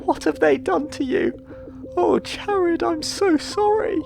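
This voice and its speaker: A man's voice: very high-pitched, takes it slowly, creaky, heavy background noise, pitched above the performer's own voice, full character voice. A man voicing an earnest, anxious female expedition scientist in a dramatised sci-fi audiobook, with urgent delivery. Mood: distraught.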